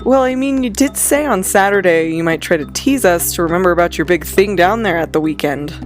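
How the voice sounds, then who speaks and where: A woman's voice: on the low side, brisk, soft background music, lightly acted. A young woman acting as an amused, casual friend in an audio drama, speaking in a teasing, conversational way.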